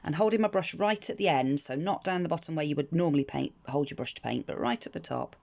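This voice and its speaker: A British woman sounding croaky in the morning, chatting her way through a craft tutorial with frequent ums and restarts. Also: low-pitched, quick pace, darkish tone. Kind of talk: casual step-by-step craft tutorial. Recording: slightly dull lo-fi audio with faint noise.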